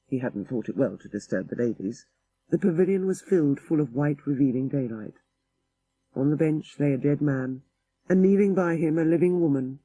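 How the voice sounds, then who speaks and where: A man's voice: fairly high pitch, warm tone, breathy edge, no echo, low-quality recording. A man narrating a literary ghost story for an audiobook in a dramatic style.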